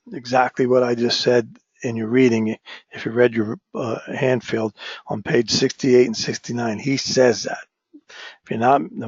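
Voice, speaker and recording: Hoarse male lecturer with a cold. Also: mid-range voice, dry recording. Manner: frequent restarts, asks "right?" often. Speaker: instructor. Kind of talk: recorded online lecture. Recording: dull, dry lo-fi recording.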